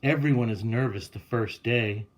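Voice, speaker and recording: A man voicing a gushing, brisk female school principal with emphatic delivery in a picture book read-aloud. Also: medium pitch, natural voice.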